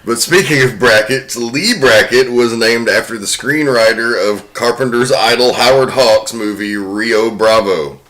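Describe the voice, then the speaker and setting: A man's voice: medium pitch, unhurried, hardly any pauses, a bit bright. A man hosting a podcast, presenting film trivia in an informal way. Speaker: podcast host.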